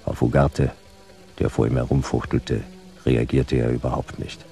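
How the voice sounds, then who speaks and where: An actor playing a laconic, sardonic private detective who narrates a German sci-fi radio play in clipped phrases with a gravelly voice. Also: male voice, rumbling low, level tone, moderate pace, dark tone. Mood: wry, observant. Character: hard-boiled sci-fi private detective narrating, dry, wry. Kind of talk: noir first-person radio play narration.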